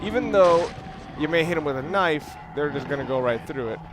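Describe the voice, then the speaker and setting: A man's voice: on the high side, expressive, brisk, noisy background. A man giving casual, wry and rambling commentary as he talks through a video game walkthrough. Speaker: let's play commentator. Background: game music and sound.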